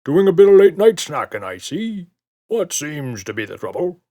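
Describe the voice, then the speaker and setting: A man's voice: on the high side, sing-song, moderate pace, warm tone, pitched above the performer's own voice. A man voicing a stout, friendly monster in a children's audiobook, chummy and full of confidence. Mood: jovial. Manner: jovial, chummy, self-assured.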